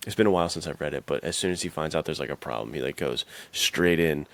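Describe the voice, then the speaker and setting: Really deep voiced guy, fry in his voice, chatting casually on a podcast, says like a lot. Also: fast talker, a bit bright. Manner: informal slang, frequent "like". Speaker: podcast co-host.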